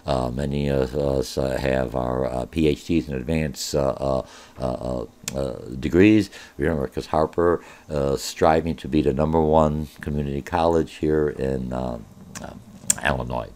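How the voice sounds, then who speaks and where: Very deep-voiced instructor man, rambling. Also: steady tone, measured pace, a little mellow. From born in Germany. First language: Polish. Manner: rambling, many "uh" fillers, informal and direct. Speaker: college business instructor. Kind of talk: recorded online course orientation.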